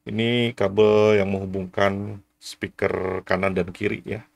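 A man's voice: on the low side, flat delivery, unhurried, vocal fry, slight background noise. A man in his forties giving a casual, explanatory product review to camera, often tagging his sentences. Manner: frequent "ya" tags, explanatory. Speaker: vlogger reviewing gear.

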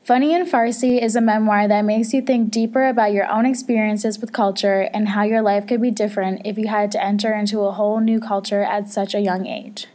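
A young woman reading a student book report aloud in a measured, even way. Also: mid-range voice. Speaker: student presenter.

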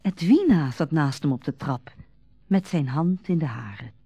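A woman narrating a radio drama in a measured, literary storytelling manner. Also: low voice, expressive, dark tone, breathy edge, no echo, faint music behind, exaggerated voice.

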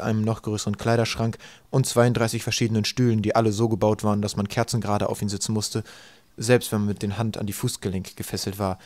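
A man reading a comic story as an audiobook narrator, in a dry, deliberate storytelling manner. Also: lowish voice, darkish tone, no room sound. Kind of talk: scripted literary narration, storytelling.

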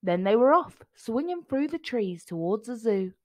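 A woman narrating a children's picture book expressively, phrasing clearly for young listeners. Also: on the high side, sing-song, normal speed, a little mellow, dry recording.

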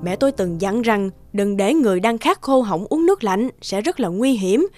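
A woman's voice: mid-range voice, expressive, moderate pace, music in the background, close to an everyday voice. A woman voicing a hearty, insistent and grateful village housewife in a folk-tale cartoon. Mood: earnest, explaining.

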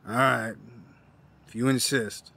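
A gravelly, forceful old veteran , acted by a man, down on his luck but proud. He talks in a gruff, wry, folksy way, as in an audio drama. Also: mid-range voice, steady tone, slow pace, heavily acted. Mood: weary, reluctant.

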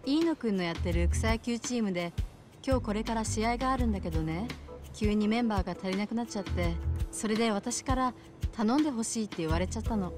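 An actress playing a friendly, straightforward bar owner in a video game, talking casually and directly. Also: female voice, medium pitch, fast talker, music in the background, natural voice. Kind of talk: video game cutscene acting.

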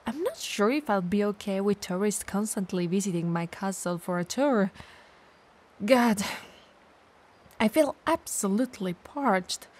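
A woman's voice: mid-range voice, lively intonation, unhurried, darkish tone. A woman playing a playful, clumsy, bloodthirsty female vampire who mutters theatrically to herself in an ASMR audio roleplay. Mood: musing, wistful.

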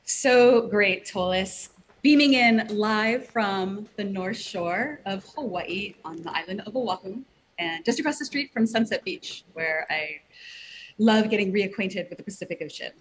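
A woman's voice: medium pitch, expressive, unhurried, slight background noise, rough recording. A middle-aged woman joining as a guest expert on a video call, speaking in a friendly, casual way over a headset.

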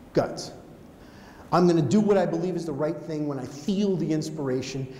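A middle-aged American man giving a conversational motivational talk on stage, telling stories in an animated manner. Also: fairly high pitch. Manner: animated, conversational, acts out dialogue. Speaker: keynote speaker. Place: on stage in an auditorium. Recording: echoey hall with background noise.

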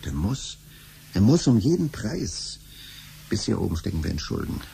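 Deep-voiced, exasperated scheming father. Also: big pitch swings, moderate pace, vocal fry, warm tone, a little hiss or hum. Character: scheming, impatient, exasperated middle-aged father in debt. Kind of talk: 1970s German science-fiction radio play acting.

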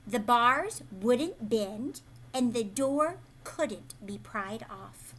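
A woman in her thirties reads a storybook to young children in a fairly high voice. She is expressive, explains as she goes and puts questions to her listeners. There is some background noise in the room. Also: drawn-out words. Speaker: teacher reading aloud to children. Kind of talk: picture-book read-aloud with questions to young listeners. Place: living room at home, indoors.

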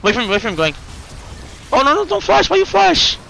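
A man in his twenties streaming a game, chatting excitedly and firing off repeated, slangy callouts to teammates. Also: extremely high pitch, brisk, gravelly, faint music behind, low-quality recording. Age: 20s. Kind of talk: excited live gameplay chat with teammate callouts. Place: indoor room at home.

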